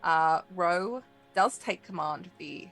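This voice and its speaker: A woman's voice: on the low side, takes it slowly, a bit bright, soft background music. A woman running a tabletop game as game master, improvising narration in loose, meandering phrases.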